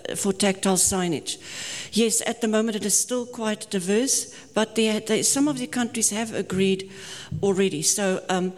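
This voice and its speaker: Woman, mid pitch, session chair, friendly explaining, long hall reverb. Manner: friendly, explanatory, frequent restarts. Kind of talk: conference Q&A moderation.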